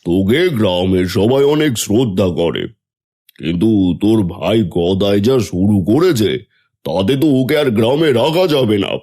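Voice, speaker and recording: A man's voice: lowish voice, animated, normal speed, a little mellow. A man playing a stern, authoritative village headman giving warnings in a fairy-tale cartoon. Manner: admonishing, warning.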